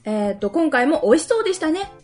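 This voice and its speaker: A woman's voice: sits high, big pitch swings, fast talker, a little mellow. A woman co-hosting a radio show, chatting casually and reading out listener messages with music behind her. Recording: music under the voice. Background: background music.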